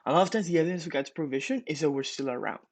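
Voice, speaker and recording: A man's voice: on the high side, expressive, racing, slight background noise. Casual young man giving a devotional. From Ecuador. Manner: casual, many fillers and restarts. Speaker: pastor. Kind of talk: morning devotional.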